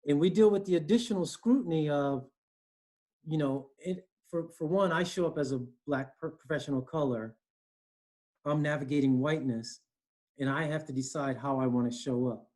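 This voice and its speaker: A man's voice: fairly high pitch, moderate pace, darkish tone. A man in his forties with an East Coast American accent, from Connecticut, talking earnestly as an interview guest on a video call from home, often checking in with "you know" and "right?". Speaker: guest, HR director. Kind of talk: conversational interview. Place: home room, indoors.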